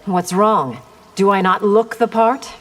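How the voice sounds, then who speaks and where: An actress playing a proud, confident army commander in a video game, with haughty, formal speech. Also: female voice, mid-range voice, steady tone, measured pace, soft background music, lightly acted. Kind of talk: video game character dialogue. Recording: long reverb.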